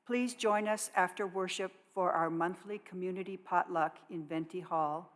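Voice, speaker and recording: A woman reading scripted announcements to a congregation in a measured public voice. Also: lowish voice, steady tone. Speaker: worship associate reading church announcements. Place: church sanctuary. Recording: long reverb of a large hall.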